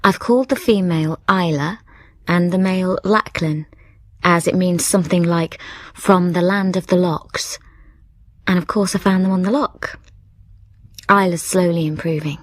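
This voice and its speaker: Close, dry sound frames a reflective young widow telling her own story, played by a woman. Her voice is on the low side, in an intimate radio drama narration style. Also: fairly even intonation, moderate pace, no echo, natural voice. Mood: hopeful, tender. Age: young adult. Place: dry studio.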